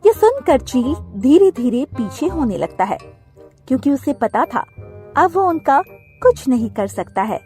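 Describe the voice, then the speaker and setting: A woman narrates a children's story in a high, very lively voice. She takes it slowly, with music playing behind her.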